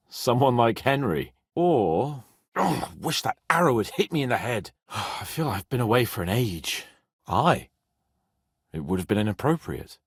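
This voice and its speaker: A man's voice: medium pitch, husky, dry recording, studio quality, full character voice. An actor playing a haughty, hot-tempered young medieval nobleman in a video game, with a petulant, exclamatory manner. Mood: subdued, pensive. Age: young adult.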